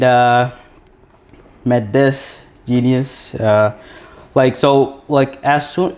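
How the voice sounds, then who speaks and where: A young man from Sri Lanka co-hosts a live podcast, talking loosely and circling back on his words. His voice sits at an ordinary pitch for a man, and he keeps the chat casual with plenty of fillers and restarts. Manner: rambling, many restarts and fillers. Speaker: podcast co-host.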